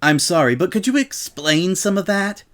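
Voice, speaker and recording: A man's voice: high voice, lively intonation, normal speed, a bit bright, voice pushed up. A man voicing a brash, cheeky young female pegasus pony with a casual, faux-naive way of talking, in a dramatic story reading. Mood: feigned innocence.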